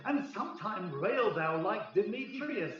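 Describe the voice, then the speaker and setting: A man's voice: sits high, animated, measured pace. A man playing a stern, imperious fairy king, speaking stately verse in an amateur Shakespeare radio play. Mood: commanding. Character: commanding fairy king of shadows, stern and grand. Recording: very echoey, distant, dull, faint noise.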